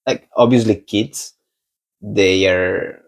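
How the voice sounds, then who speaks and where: A young man from Spain with a Spanish accent, a podcast guest talking in a relaxed, halting way and laughing often. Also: fairly low pitch. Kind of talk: casual conversation.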